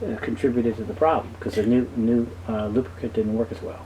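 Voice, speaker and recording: A man giving a halting, explanatory technical talk as an expert guest in an interview. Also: medium pitch, fairly even intonation, mellow, dull audio, slight background noise. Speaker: guest expert on reel-to-reel tape.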